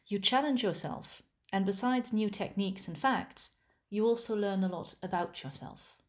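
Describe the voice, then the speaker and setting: A woman whose first language is Dutch, a musician giving a calm, thoughtful scripted monologue. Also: medium pitch, moderate pace.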